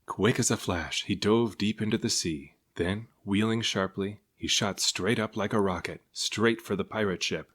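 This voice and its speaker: A man with a low-pitched voice narrates a children's picture book. He reads it aloud as scripted storytelling, his voice sitting well down in the male range. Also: normal speed, few pauses, a bit bright. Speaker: storybook reader.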